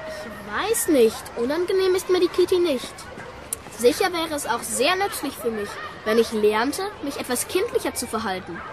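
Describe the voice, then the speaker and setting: A woman's voice: high-pitched, very animated, moderate pace. A woman playing a precocious, obedient seven-year-old boy in a radio play, earnest and formally polite. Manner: formal, well-mannered, earnest. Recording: noisy scene with background crowd.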